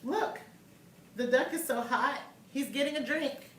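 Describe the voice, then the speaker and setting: A woman reads as a bright, stubborn friend in a storybook who keeps insisting it's a duck. The voice sits a touch high and eager. It comes from a little away from the mic in an echoey room. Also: measured pace, far from the mic, slight background noise. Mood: excited insistent. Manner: excited, insistent.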